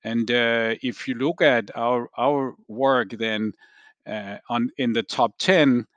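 A man's voice: mid-range voice, fairly even intonation, a bit bright, dry recording. A Danish analyst on a business podcast, feeling his way through an explanation word by word in a dry-sounding room. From Denmark. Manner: halting, repeats words as he thinks. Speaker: research analyst, interviewee. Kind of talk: explanatory discussion.

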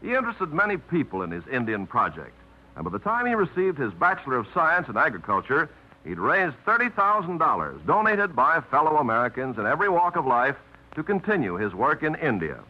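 A man's voice: on the high side, big pitch swings, brisk. A man announcing a 1950s radio drama in an earnest, declamatory style, on a dull old radio recording.

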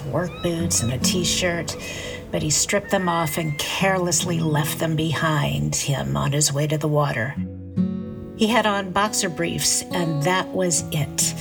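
A fairly low-voiced woman tells her story in a fiction podcast over clear music. She is a divorced business owner, wounded but wry, breaking loose. Her delivery is candid and conversational. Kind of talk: audio drama acting and confessional first-person narration.

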